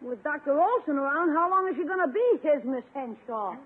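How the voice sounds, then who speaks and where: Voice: high-pitched, lively intonation, fairly quick. A performer playing a brash young nephew, a boy with a cheeky, breezy manner, in a 1950s radio comedy. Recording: tinny 1950s radio recording.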